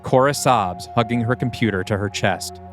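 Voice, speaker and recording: Low-pitched man narrating over music. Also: normal speed. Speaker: narrator of an audio play. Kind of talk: scripted screenplay-style narration. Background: background music.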